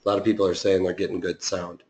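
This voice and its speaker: A man with a raspy voice explaining trading strategy in a live online lesson, often pausing with fillers and restarting his sentences. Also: deep voice, flat delivery, a little mellow, echoey room. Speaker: options trading instructor.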